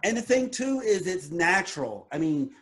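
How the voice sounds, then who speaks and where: A man's voice: sits high, sing-song, normal speed, a bit bright. A man in his late thirties in a casual group chat, chatty and pointing things out as he talks. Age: 30s. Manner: chatty, shows things while talking.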